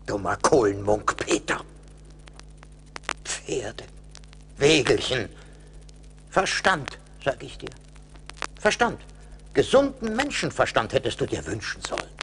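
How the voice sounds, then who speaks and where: An actor playing a stern, wise little forest spirit in a radio play, admonishing in a deliberate manner. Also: male voice, medium pitch, very animated, a bit bright. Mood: scolding. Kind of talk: 1980s German radio play acting. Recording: noisy vintage LP radio play.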